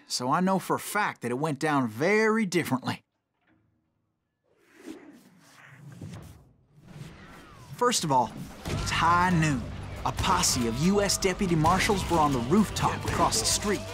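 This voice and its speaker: Eager, higher-pitched young Western storyteller. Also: male voice, expressive, normal speed, fairly bright tone. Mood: eager correcting. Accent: American. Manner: earnest, dramatic storyteller. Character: eager young male Western dime-novel fan, hero-worshipping. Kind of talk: scripted in-character narration. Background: music.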